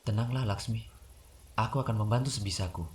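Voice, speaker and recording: A man's voice: fairly low pitch, a little fast, a little hiss or hum, natural voice. A man acting a determined, loyal young hero in a comic dub. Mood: steady, resolute.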